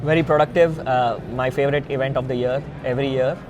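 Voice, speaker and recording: A man's voice: fairly even intonation, fast, lo-fi sound. A man from India with an Indian accent, a founder being interviewed, explaining emphatically step by step in earnest. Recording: noisy outdoor ambience with traffic rumble.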